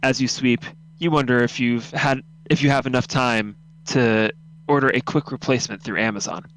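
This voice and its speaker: A man's voice: medium pitch, steady tone, normal speed, a bit bright, slight background noise, low-quality recording. A young man who hosts and narrates an amateur radio drama, moving between casual chat and scripted story reading.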